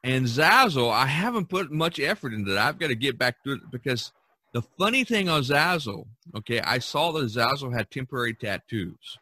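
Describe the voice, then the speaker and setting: A man's voice: mid-range voice, very animated, moderate pace, sharp tone, no room sound. A man hosting a casual podcast about art, talking in a rambling, enthusiastic way and repeating himself. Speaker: podcast host and artist.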